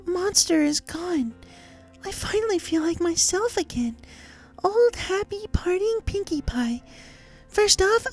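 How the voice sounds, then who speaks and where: A woman's voice: very high voice, a little mellow. A woman playing a desperately upbeat, haunted cartoon pony who reads out her diary with earnest, exaggerated cheer. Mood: troubled, earnest. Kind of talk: dramatized diary reading of fan fiction.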